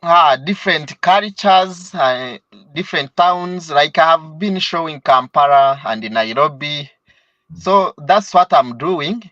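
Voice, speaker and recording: A man's voice: fairly high pitch, slow, fairly bright tone, no room sound. A young man from Uganda with a Ugandan accent, a student calling in to a live stream, speaking hesitantly with fillers and laughs. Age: young adult. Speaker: caller, student and travel vlogger. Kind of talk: live call-in chat. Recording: dull, dry call audio.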